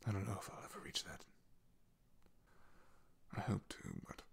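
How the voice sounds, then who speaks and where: A man playing a solemn, haunted, devoted knight in an ASMR fantasy roleplay, speaking haltingly in a husky, gravelly half-whisper. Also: lightly acted. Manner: hesitant, halting, confiding in hushed tones. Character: loyal male knight, solemn, haunted, devoted to his princess.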